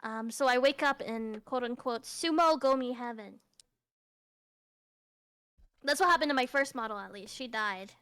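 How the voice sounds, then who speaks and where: A woman's voice: fairly high pitch, normal speed, pauses often, a bit bright, clean tone. A woman guest in a casual chat, laughing often and rambling in slangy speech. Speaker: guest streamer.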